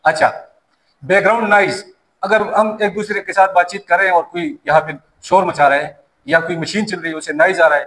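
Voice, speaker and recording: A man's voice: sits high, steady tone, brisk, a bit bright, low-quality recording. A man, teaching a safety class in a classroom and answering his own questions as he explains. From Pashto-speaking region of Pakistan. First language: Pashto. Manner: explains by asking questions and answering them himself. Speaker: safety course instructor. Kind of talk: classroom lecture.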